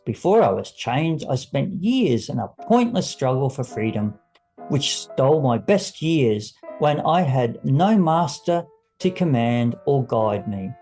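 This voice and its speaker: A man's voice: on the high side, dark tone. A man voicing a servile male attendant in an audio story, deferential, earnest and obsequious.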